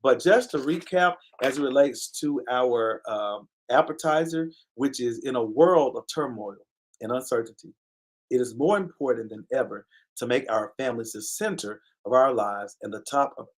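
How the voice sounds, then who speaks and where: A man hosts a casual live online talk show with a middle-pitched voice. He speaks earnestly, repeating key phrases with a preacher-like cadence, and regularly stops to thank viewers by name. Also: rough recording. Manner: pauses to give viewers shout-outs. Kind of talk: casual live-stream commentary.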